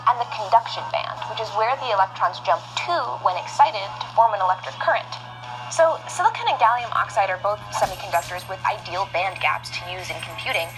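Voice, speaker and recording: Woman, higher voice, polished scripted explainer read over clear music. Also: rough recording. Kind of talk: scripted science explainer narration. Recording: clear music bed underneath.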